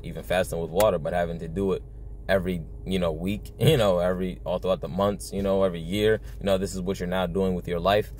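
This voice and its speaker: A young man with a fairly low voice preaches informally, as if chatting to his viewers. He is earnest and circles back over his points with frequent "you know". The sound is a phone recording inside a car, with faint noise behind him. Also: a little mellow. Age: young adult. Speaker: religious video blogger. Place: inside a parked car at night.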